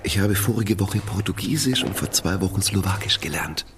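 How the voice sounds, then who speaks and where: An actor playing a gentle, learned village priest who speaks mildly and kindly. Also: male voice, low-pitched, normal speed, gravelly, background noise. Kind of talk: radio drama acting.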